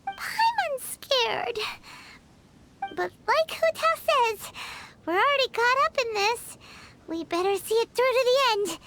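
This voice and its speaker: A woman's voice: very high-pitched, sing-song, slow, a little hiss or hum, heavily acted. A woman voicing a tiny, chirpy floating female companion sprite in a video game, wide-eyed and childish, referring to herself in the third person. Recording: game audio with loud background music.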